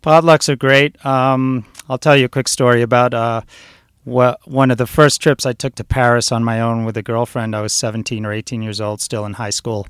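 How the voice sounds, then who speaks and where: A man in his forties talks casually as a guest, his voice smooth and resonant. He rambles through stories in a relaxed way, full of ums and uhs. The sound is dry and close. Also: mid-range voice, normal speed, no echo. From born in Switzerland, raised in New York City. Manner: relaxed, rambling storyteller with frequent fillers. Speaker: chef guest. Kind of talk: casual interview talk.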